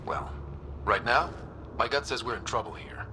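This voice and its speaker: An actor playing an earnest, quick-witted young male space explorer in a video game cutscene. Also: mid-range voice, lively intonation, background noise, lightly acted. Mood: earnest, uneasy.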